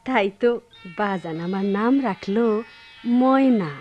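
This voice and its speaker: A woman's voice: medium pitch, unhurried, lo-fi sound, loud music behind the voice, lightly acted. An actress playing a tender, playful young village wife and mother in a radio play, coaxing in a singsong rural Bangladeshi accent. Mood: playful, wistful. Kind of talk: Bengali radio play acting.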